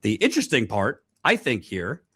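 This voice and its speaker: A man hosting a news commentary show, speaking deliberately and repeating points for emphasis. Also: mid-range voice, huge pitch swings, pushed and bright, breathy edge, no echo, studio quality. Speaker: host, commentator. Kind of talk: news commentary to camera, reading out quotes.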